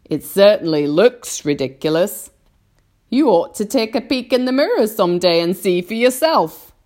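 A woman's voice: medium pitch, expressive, moderate pace, a little mellow, voice pushed up, lightly acted. A woman voicing a rude, rambunctious storybook centipede, brash and mocking. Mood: scornful, mocking.

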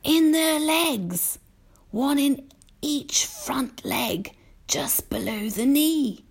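Woman putting on a slow, high-pitched genteel old grasshopper. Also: lively intonation, full character voice. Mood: gentle, teasing. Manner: genteel, old-fashioned, unhurried, lecturing. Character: old male green grasshopper, gentle, courteous, proud violinist.

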